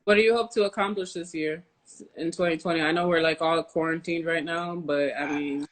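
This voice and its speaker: A woman's voice: low-pitched, fairly even intonation, fairly quick, poor audio. An American woman hosting an interview podcast, chatting casually in an informal, slangy and emphatic way. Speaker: podcast host.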